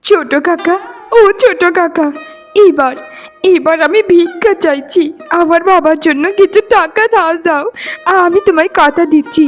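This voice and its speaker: A woman's voice: very high-pitched, moderate pace, faint music behind. A woman voicing a poor, desperate daughter in a melodramatic cartoon, imploring tearfully and repeating her pleas. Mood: tearful pleading. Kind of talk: melodramatic Bengali cartoon voice acting.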